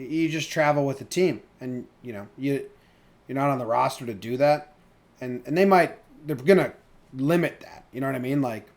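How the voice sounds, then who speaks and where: A man co-hosting a sports podcast in casual, colloquial chat, swearing freely. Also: mid-range voice.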